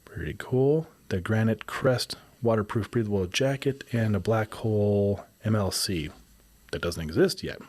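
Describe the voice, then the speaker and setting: Man, podcast host, raspy worn voice, somewhat low, rambling and upbeat. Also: darkish tone. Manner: rambling, upbeat, self-correcting. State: raspy voice from shooting in wind. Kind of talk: casual solo podcast update.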